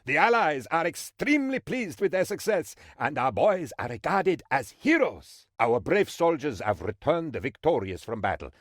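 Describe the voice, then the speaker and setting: Fawning, theatrical presidential advisor, wildly lively pitch. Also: male voice, moderate pace, few pauses, no echo, studio quality. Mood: proud, upbeat. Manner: theatrical, bumbling, eager to please. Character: fawning, excitable male presidential advisor in a tropical banana republic. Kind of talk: theatrical comic video game voice acting.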